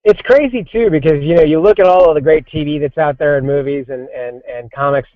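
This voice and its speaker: A man's voice: fairly high pitch, fairly quick, no echo. Dry lo-fi forty-year-old man rambling. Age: 40s. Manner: rambling, many restarts and fillers. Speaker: comics creative director, guest. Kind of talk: casual podcast interview. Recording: dry, dull lo-fi sound.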